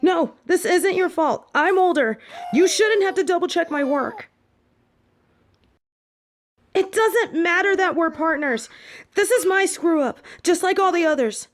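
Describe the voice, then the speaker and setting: A woman's voice: very high-pitched, measured pace, long pauses. A woman playing an anxious, earnest young witch student in a fantasy audio drama, hesitant and pleading. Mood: pleading, guilty.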